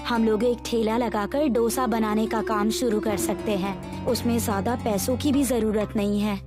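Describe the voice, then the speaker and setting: A woman's voice: fairly high pitch, fairly even intonation, normal speed, natural voice. A woman playing a supportive, practical wife of a street food vendor in a cartoon story. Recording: music behind the voice.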